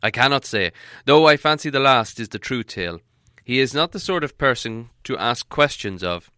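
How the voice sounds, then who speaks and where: A man's voice: medium pitch, lively intonation, normal speed, pushed and bright, smooth, dry recording, clean studio sound. A man voicing a fussy, stern old male wizard who lectures and warns crossly. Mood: explaining.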